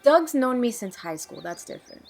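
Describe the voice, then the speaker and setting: A woman's voice: a little high, sing-song, moderate pace, gravelly, darkish tone, heavily acted. A woman playing a guarded, troubled young woman in an audio drama, speaking in a hesitant, plain way. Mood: guarded, confessional. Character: guarded, troubled young woman named Nicole, awkward and wary. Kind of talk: audio drama dialogue, naturalistic amateur acting.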